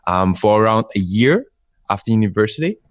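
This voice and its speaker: A young man with an Austrian accent speaks low and dry over a call. He tells his story in an upbeat, chatty way, with filler sounds and light laughs. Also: animated, a little fast, no echo. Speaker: guest entrepreneur. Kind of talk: casual interview over a call. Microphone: remote call.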